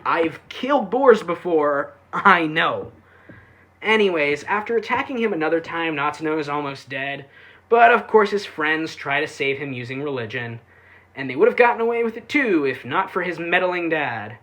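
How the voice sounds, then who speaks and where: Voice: medium pitch, animated, normal speed, fairly bright tone. A reviewer giving a casual, sarcastic recap, full of offhand asides and swearing. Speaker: anime reviewer.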